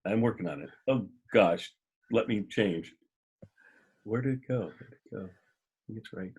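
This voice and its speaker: A man hosting a casual podcast, chatting in a rambling, jokey way with a husky voice. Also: fairly low pitch, animated, moderate pace, darkish tone. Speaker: podcast host.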